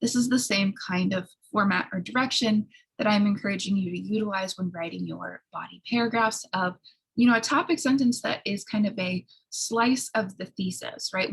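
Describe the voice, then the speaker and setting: A woman who sounds a little hoarse, giving an explanatory college writing lecture in an instructional way, often checking in with short tag questions. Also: medium pitch, normal speed, poor audio. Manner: instructional, frequent checking tags like "right?". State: slightly hoarse.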